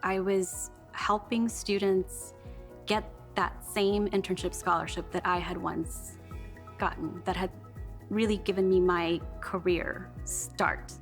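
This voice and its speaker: A woman's voice: fairly low pitch, level tone, slow pace, soft background music. A woman in her thirties from California's Central Valley, speaking earnestly and reflectively in an interview about her public policy career. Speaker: award recipient, public policy staffer. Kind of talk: recorded interview testimonial.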